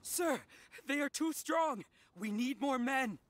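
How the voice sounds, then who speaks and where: A man's voice: very high voice, expressive, slow, a bit bright, full character voice. A man playing a blustering soldier in a video game, with an officious, declamatory delivery. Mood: urgent. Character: pompous, blustering commission soldier. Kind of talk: dramatic video game voice acting.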